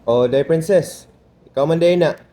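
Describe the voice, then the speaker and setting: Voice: medium pitch, normal speed, mellow, a little hiss or hum, natural voice. A performer playing a stern middle-aged father in an amateur radio drama, questioning and disapproving. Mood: skeptical. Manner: questioning, scolding.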